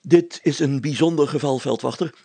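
A man's voice: mid-range voice, normal speed, gravelly, mellow, slightly rough, no echo, pitched above the performer's own voice. A man voicing a pompous, flustered burgomaster in a story, with blustering, old-fashioned formal speech. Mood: jovial, commanding. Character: pompous, flustered male burgomaster and police chief.

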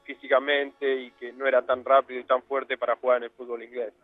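A man's voice: medium pitch, little pitch movement, fairly quick, phone-quality sound. A young man from Argentina with an Argentine Spanish accent, telling his story as a guest in a casual interview, rambling with many fillers. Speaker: guest footballer.

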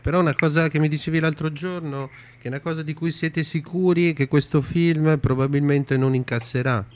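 A man working as a radio interviewer, putting informal questions in a halting way and often restarting his openings. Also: a little high, fairly even intonation. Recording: faint background noise.

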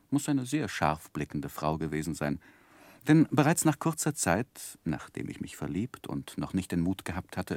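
A man acting an earnest, lovestruck young gentleman who recalls his youth in formal, gently self-mocking in a 1960s radio drama. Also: sits low, no echo. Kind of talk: literary first-person narration, 1960s German radio drama.

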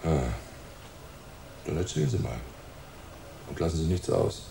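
Low, gruff, scornful police inspector. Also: male voice, big pitch swings, measured pace, warm tone, heavily acted. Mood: brusque, suspicious. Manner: brusque, condescending. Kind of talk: hardboiled radio play dialogue. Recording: roomy echo with faint ambient noise.

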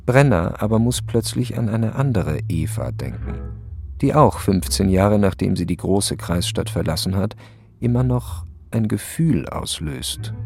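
A man narrating a literary radio drama in a measured, deadpan storytelling manner. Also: fairly low pitch, gravelly.

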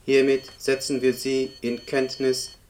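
A man playing a deadpan court computer in a radio play. The male voice reads official notices in a flat monotone at middling pitch, over audible background noise. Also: unhurried, close to an everyday voice. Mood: impersonal, official. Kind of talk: formal document reading in a radio play. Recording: clearly noisy radio play recording.

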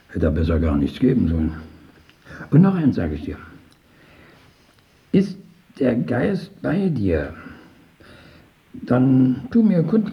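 An actor in a radio drama playing a skeptical questioner who tests a sufferer with halting, rhetorical questions. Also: male voice, medium pitch, highs cut off. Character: probing, skeptical male questioner testing a sufferer's faith.

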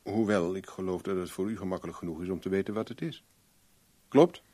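Shrewd, blunt private investigator. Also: male voice, deep voice, fairly quick, mellow. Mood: knowing, pressing. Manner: probing, wry, plain-spoken. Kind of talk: Dutch radio play acting.

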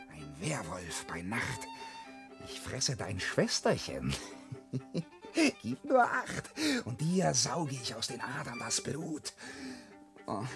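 An actor playing a boastful, restless young peasant in a verse radio play, swaggering and swinging between outbursts and hushed wheedling. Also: male voice, mid-range voice, creaky, exaggerated voice. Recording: radio drama with string music underneath.

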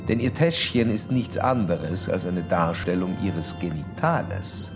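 A probing psychoanalyst in a radio play. His voice sits low but moves in lively, sweeping intonation. He is formal, learned and insistent, sure of his own diagnosis, with faint music behind him. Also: unhurried. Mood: accusing, triumphant. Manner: insistent, pedantic, interrogating, formal learned diction. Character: probing, self-assured male doctor psychoanalysing a young woman. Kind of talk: German radio drama acting.

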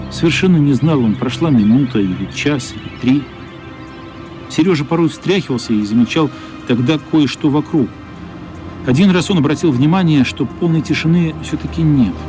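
A man narrating a literary radio play in a measured, somber, dramatic style. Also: medium pitch, mellow. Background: faint music.

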